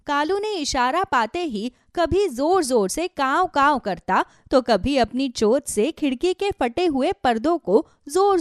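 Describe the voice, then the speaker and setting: A woman's voice: sits high, dry recording, studio quality. A woman narrating a scripted children's story as a storyteller.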